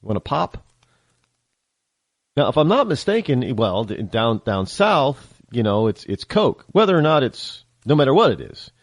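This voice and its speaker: Rambling radio host, upstate New York accent. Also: male voice, mid-range voice, very animated, moderate pace, no echo. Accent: central upstate New York. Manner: rambling, digressive, many restarts. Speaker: radio talk show host. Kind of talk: casual call-in radio talk.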